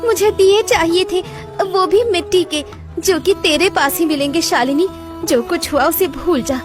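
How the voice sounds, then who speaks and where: A village woman from a cartoon tale, acted by a woman. The voice is very high, theatrical and pleading. Music is audible behind. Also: unhurried. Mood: coaxing. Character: village women, anxious wife or pushy customer. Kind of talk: Hindi cartoon moral-story voice acting. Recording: background music throughout.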